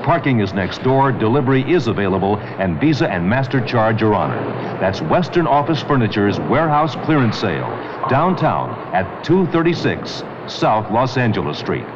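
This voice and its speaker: Lively-voiced male radio ad announcer. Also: on the low side. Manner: brisk salesman listing bargains. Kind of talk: 1970s radio commercial. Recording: lo-fi radio broadcast with loud noise.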